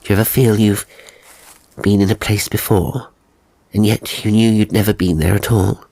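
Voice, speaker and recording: A man voicing an anxious, pleading young English woman in an audiobook, breathy, earnest and insistent. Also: fairly low pitch, steady tone, moderate pace, mellow, no echo, poor audio. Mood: searching. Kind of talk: audiobook character acting.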